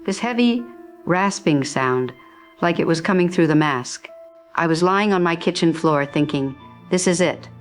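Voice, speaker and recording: A woman playing a frightened resident in a radio drama, giving an eyewitness account in short, halting phrases. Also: lowish voice, lively intonation, soft background music. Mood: shaken, fearful. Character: frightened female resident hiding at home.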